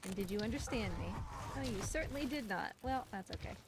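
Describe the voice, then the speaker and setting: A woman's voice: a little high, expressive, brisk, noisy background. A woman giving casual, chatty live commentary on a video game speedrun, explaining things as she plays. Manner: chatty, explanatory, talks fondly to game creature. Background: game music and game sounds.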